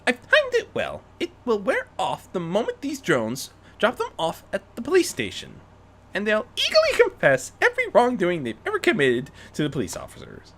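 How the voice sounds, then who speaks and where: Male reader as young unicorn lady, storybook heroine, high voice, refined, eager, bright delivery. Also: wildly swooping pitch, moderate pace, heavily acted. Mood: pleased, explaining.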